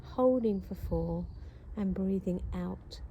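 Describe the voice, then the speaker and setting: Slow, mellow woman guiding breathwork. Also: mid-range voice, slight background noise. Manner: calm, slow, counting steadily. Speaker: breathing instructor. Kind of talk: guided breathing practice.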